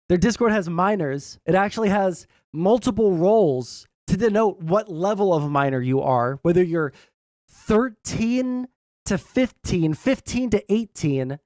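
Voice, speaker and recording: A young man with a high tenor voice, giving blunt, sarcastic reaction commentary and swearing freely. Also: a little mellow, no echo, studio quality. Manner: blunt, sarcastic, swears often, many "all right?" tags. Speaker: commentary streamer.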